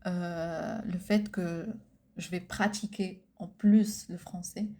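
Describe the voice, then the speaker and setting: Co-host, woman, Arabic first language: slow, hesitant delivery, mellow tone. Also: lowish voice, steady tone. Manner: hesitant, searching for words, many fillers. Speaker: podcast co-host. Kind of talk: casual chat.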